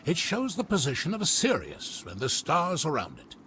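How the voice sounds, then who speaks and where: Animated, higher-voiced scheming scholar. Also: male voice, moderate pace, full character voice. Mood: intrigued, smug. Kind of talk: video game cutscene acting. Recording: loud music behind the voice.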